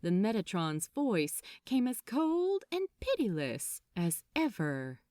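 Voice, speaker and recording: A woman reading a story aloud as a dramatic, expressive and deliberate narrator. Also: mid-range voice, no room sound, studio quality. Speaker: audiobook narrator.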